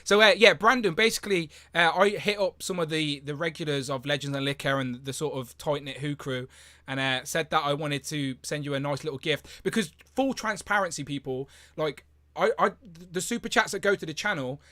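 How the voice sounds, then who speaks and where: Guy hosting a live stream, kinda hoarse, rambly with lots of ums, bright tense voice, super dry sound. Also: a little high, fairly quick, no room sound. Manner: rambling, lots of fillers and restarts. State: hoarse, losing his voice. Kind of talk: casual live stream chat.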